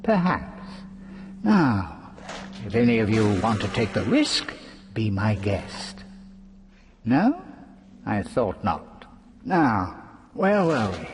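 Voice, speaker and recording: An actor playing a gleeful, mocking trickster villain in a video game, taunting with drawn-out theatrical sarcasm. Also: male voice, lowish voice, sing-song, a little hiss or hum.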